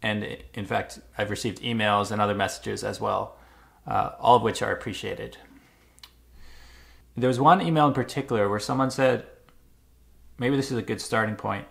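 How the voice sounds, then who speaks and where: Gravelly-voiced man musing thoughtfully. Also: lowish voice, fairly even intonation, fairly bright tone. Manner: measured, thoughtful talk with filler uhs and restarts. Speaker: vlogger. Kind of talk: reflective personal vlog. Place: Montreal.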